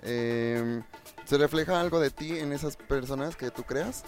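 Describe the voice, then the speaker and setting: A man interviewing a guest in a relaxed, slangy way, searching for words. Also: medium pitch, unhurried, faint music behind. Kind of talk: casual interview questions.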